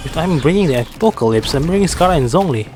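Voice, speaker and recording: A man's voice: fairly high pitch, expressive, fast talker. A man streaming a game with casual, joking commentary in short, broken reactions. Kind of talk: casual live gameplay commentary. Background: game music.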